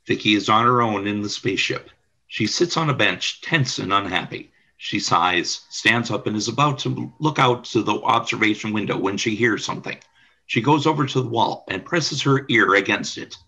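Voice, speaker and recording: Narrator for audio drama: man, slightly low, raspy edge, bright forceful delivery of scripted action. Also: expressive, moderate pace, low-quality recording. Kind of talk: scripted radio play narration.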